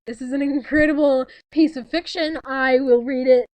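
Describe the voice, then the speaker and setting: A woman's voice: high voice, fairly even intonation, moderate pace, a little mellow, no room sound, low-quality recording. A young woman chatting casually with a friend, with a giggly manner and mock-dramatic sarcasm. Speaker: co-host reading fanfiction. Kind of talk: casual joking chat on a call with a friend.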